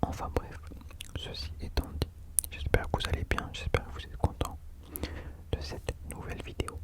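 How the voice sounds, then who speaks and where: A man speaking in an unvoiced whisper, soft and informal, chatting as the host of an ASMR video into a close microphone. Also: moderate pace. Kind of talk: whispered ASMR talk about rap.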